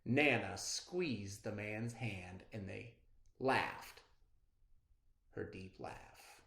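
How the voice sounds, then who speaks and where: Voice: low voice, very slow, vocal fry, fairly bright tone. A storyteller reading a children's picture book aloud expressively, in a roomy, echoing recording.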